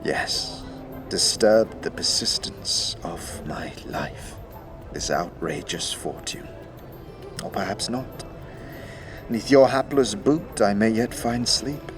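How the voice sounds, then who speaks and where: An actor playing a world-weary, brooding noble skeleton with archaic, sighing, theatrical gloom. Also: male voice, on the low side, takes it slowly, music in the background. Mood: weary, mournful. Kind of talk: fantasy audio drama acting.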